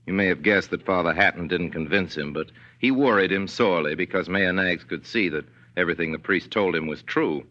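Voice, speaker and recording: Deep-voiced male radio storyteller. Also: pushed and bright. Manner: measured, folksy storytelling. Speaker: narrator. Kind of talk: 1940s radio drama narration, scripted. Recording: dull 1940s radio broadcast.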